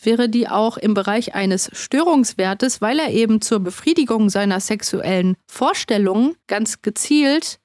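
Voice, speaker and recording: A woman's voice: mid-range voice, expressive, measured pace, hardly any pauses, a little mellow, dry recording, studio quality. A woman co-hosting a podcast, giving explanatory commentary on true crime as an expert.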